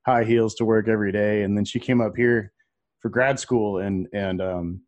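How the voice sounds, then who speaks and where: Man, podcast co-host, low voice, easygoing and hesitant. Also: moderate pace. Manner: relaxed, hesitant, frequent fillers. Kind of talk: casual chat.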